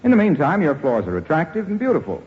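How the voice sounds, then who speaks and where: A man's voice: on the high side, huge pitch swings, fast talker, slightly rough. A man working as a 1940s radio announcer, delivering polished, emphatic sales copy. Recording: dull 1940s radio recording, faint hiss.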